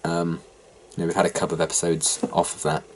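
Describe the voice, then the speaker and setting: A young English man hosting a reaction video, nasal and hoarse from a heavy cold, rambling casually with lots of ums. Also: low-pitched, quick pace, slight background noise. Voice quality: hoarse from a sore throat. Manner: rambling, lots of ums, mildly flat delivery. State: nasal, blocked-up head cold. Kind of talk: casual chat to camera.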